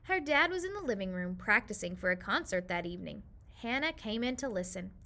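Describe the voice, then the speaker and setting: A woman reading a children's picture book aloud, with expressive storytime narration. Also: medium pitch, a little fast.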